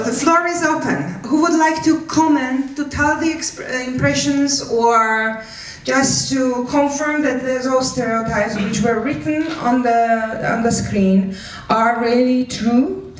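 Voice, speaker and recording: A woman moderating a live discussion informally, thanking speakers and handing the floor around. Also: a little high. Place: large echoey room with an audience. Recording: echoey hall, distant mic, faint noise.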